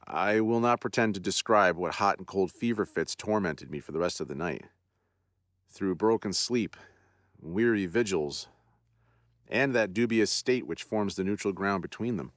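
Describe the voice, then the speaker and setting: Shaken proud general, formal and earnest; low voice, dry close sound, read by a man. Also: expressive, moderate pace, fairly bright tone, dry recording. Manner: earnest, formal period speech. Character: shaken proud male army general confessing terror.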